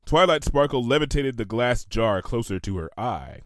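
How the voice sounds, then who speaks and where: A man's voice: mid-range voice, big pitch swings, moderate pace, a bit bright, no echo, studio quality. A man reading comedic fan fiction as a scripted storybook narrator.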